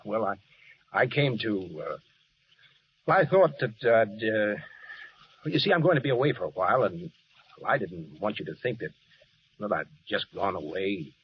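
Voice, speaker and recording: An actor playing a brash, lovestruck American lieutenant in a 1940s radio war drama, with an American accent. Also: male voice, lowish voice, lively intonation, normal speed, pauses often, natural voice. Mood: awkward, hesitant. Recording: dull, hissy vintage radio recording.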